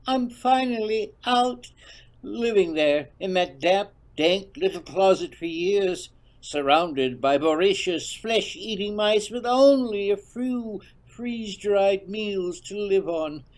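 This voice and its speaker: Performer as a slow, eccentric, menacing agent. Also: medium pitch, voice pushed up, close to an everyday voice, rough recording. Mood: gleeful, unhinged. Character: eccentric, menacing male government agent, triumphant and strange.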